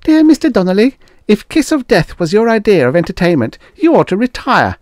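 A man's voice: high-pitched, wildly swooping pitch, brisk, darkish tone, dry recording, clean studio sound, voice pushed up. Man doing a brash scornful young boy. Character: eleven-year-old boy letter writer, brash.

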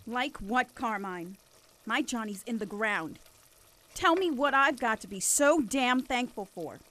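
Woman as a bitter mob mother, high-voiced. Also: expressive, unhurried, fairly bright tone. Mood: bitter anger. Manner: accusing, emphatic. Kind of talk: fan radio noir drama acting.

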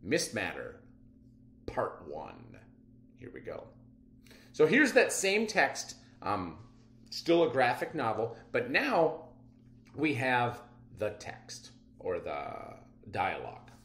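A man's voice: mid-range voice, huge pitch swings, slow pace, fairly bright tone, a little hiss or hum. A middle-aged man teaching a children's reading lesson, explaining expressively from an indoor room. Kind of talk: instructional read-aloud lesson for children. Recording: roomy echo.

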